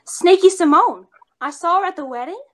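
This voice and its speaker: A woman's voice: extremely high pitch, normal speed. A young woman playing a brash, lovesick chorus girl with slangy, melodramatic tough talk in 1940s radio comedy style. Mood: excitable, scheming. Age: young adult. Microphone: seated before camera on video call.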